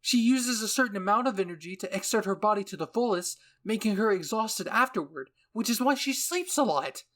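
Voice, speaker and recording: Man putting on an excitable, high-pitched scientist woman. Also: fairly quick, few pauses. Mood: excited musing. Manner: breathless enthusiasm, thinking aloud. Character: excitable, theorizing scientist woman, eager and stubborn. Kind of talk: anime fan-fiction character reading.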